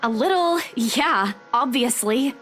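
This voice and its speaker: Anxious female estate agent, high swooping voice, apologetic, hesitant, visual novel game, faint music. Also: animated, slow, fairly bright tone. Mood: resigned, upset.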